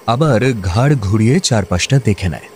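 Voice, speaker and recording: A man's voice: lowish voice, lively intonation, moderate pace, a little mellow, a little hiss or hum. A man reading scripted narration for a radio play.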